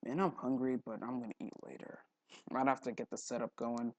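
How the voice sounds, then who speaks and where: Man, casual tutorial host, crackly fry, dry close headphone mic, halting, repeats himself, mumbles to himself. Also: medium pitch, steady tone, a little mellow, dry recording. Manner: halting, often repeats words and mutters to himself. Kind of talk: casual, unscripted screen tutorial. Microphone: headphone mic held at the neck.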